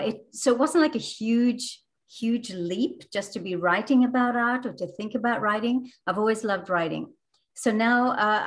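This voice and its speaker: A middle-aged woman, born in France and raised in Australia, with a mixed Australian accent, talking as a guest author in a casual book club chat, enthusiastic and full of fillers and restarts. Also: mid-range voice.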